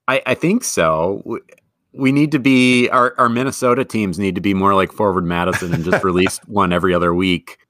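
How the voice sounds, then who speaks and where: A man co-hosting a casual sports podcast, chatty and self-deprecating, quick to laugh. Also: sits low, fairly bright tone, no room sound, clean studio sound. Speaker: podcast co-host.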